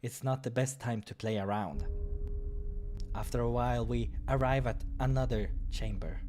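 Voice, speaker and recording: A man's voice: medium pitch, darkish tone, a little hiss or hum. A man hosting a playthrough, narrating a visual novel with playful, amused and crude casual commentary. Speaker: host of a game playthrough. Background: music.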